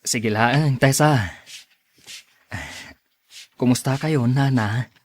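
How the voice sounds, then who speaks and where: A man's voice: medium pitch, drawn-out words. A man acting an earnest, courteous young suitor in a radio drama, with an Ilocano accent. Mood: sincere, gentle.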